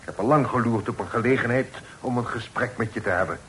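An actor playing a bold, insistent fugitive outlaw in a radio play, emphatic and persuasive. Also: male voice, mid-range voice, fast talker, a bit bright, lightly acted, low-quality recording. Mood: insistent, urgent. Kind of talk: old Dutch radio play acting. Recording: old radio recording with faint noise.

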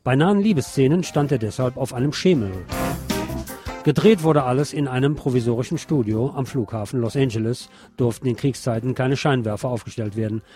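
A man's voice: mid-range voice, a little fast, dark tone, soft background music. A man narrating a scripted documentary.

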